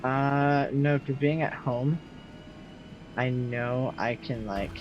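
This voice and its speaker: A young man in his late teens with a loud, projecting voice, rambling casually to his viewers while he games and answers their messages. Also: mid-range voice, steady tone, slow. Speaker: streamer. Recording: slightly muffled, faint game music behind.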